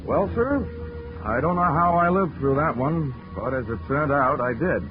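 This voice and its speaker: A man's voice: a little high, expressive, moderate pace, lightly acted. An actor playing a wisecracking male insurance investigator who narrates his own case, in a 1940s radio drama with a dry, wry delivery. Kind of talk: 1940s radio acting, first-person narration. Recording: dull 1940s radio recording with music.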